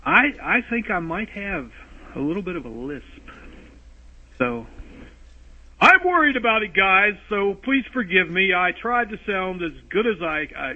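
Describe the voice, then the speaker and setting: A man's voice: sits high, sing-song, unhurried. A man leaving a rambling voicemail for podcast hosts, talking in circles, restarting, and fretting about his lisp. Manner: rambling, self-interrupting, anxious about a lisp. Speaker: caller leaving a voice message. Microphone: phone voicemail recorder. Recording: tinny phone-line voice message.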